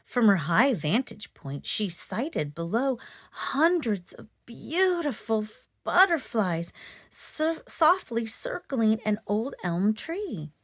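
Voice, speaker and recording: A woman storyteller reading a children's picture book aloud in an expressive narrating style. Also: medium pitch, no echo, clean studio sound.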